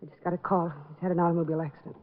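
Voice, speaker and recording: A woman's voice: on the low side, little pitch movement, racing, loud music behind the voice, natural voice. A woman playing an anxious, insistent wife in a 1940s radio melodrama. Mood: curt, worried. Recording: tinny, very noisy old radio recording.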